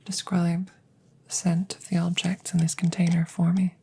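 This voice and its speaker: Alien scientist, played by a woman: female, hushed ASMR delivery, formal and curious, low mellow voice, close mic. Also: level tone, a little hiss or hum, natural voice. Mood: curious, clinical. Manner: measured, formal, deliberate. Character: calm, clinical, curious female alien scientist examining a visitor. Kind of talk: ASMR roleplay, close whispers and soft speech. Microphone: close microphone.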